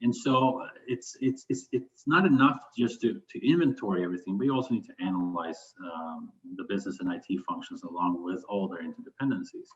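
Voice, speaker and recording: This is a man from Sweden with a fairly low voice. He answers as an interview guest in a conversational way, hesitating as he thinks aloud. The recording is somewhat dull and lo-fi. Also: brisk, hardly any pauses. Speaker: interview guest, business continuity manager. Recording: dull, lo-fi sound.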